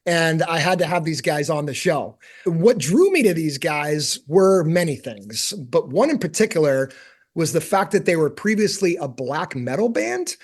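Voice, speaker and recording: A man's voice: a little high, sing-song, normal speed. A man hosting a music podcast, reading his intro and then chatting eagerly and lightly poking fun at himself with his guests. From United States. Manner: eager, warm, lightly self-deprecating. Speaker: podcast host. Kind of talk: podcast interview with a read-out intro.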